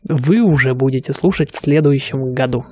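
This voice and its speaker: A man's voice: mid-range voice, moderate pace, darkish tone. A man co-hosting a casual podcast, talking reflectively and with enthusiasm, thinking aloud with fillers. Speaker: podcast co-host, student pilot.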